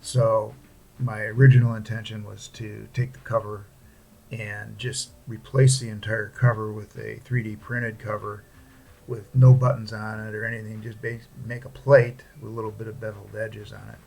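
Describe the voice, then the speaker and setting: A man talking casually through a hobby project without a script, in a halting, plain-spoken way. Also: on the low side, level tone, vocal fry, darkish tone, slightly rough. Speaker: hobbyist presenter. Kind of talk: casual unscripted project walkthrough.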